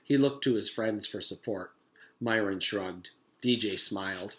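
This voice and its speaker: A man's voice: fairly low pitch, unhurried, a little hiss or hum. Man narrating a children's storybook. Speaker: teacher reading aloud to pupils.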